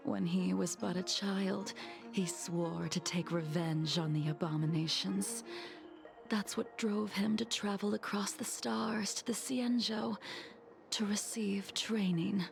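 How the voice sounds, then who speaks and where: A woman playing a cold, ancient swordswoman in a video game, speaking softly and formally with a breathy edge. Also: medium pitch, fairly even intonation, over music. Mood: wistful, reflective. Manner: slow, measured, formal, cryptic. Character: cold, ancient swordswoman reminiscing about fallen comrades. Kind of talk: scripted dramatic video game dialogue.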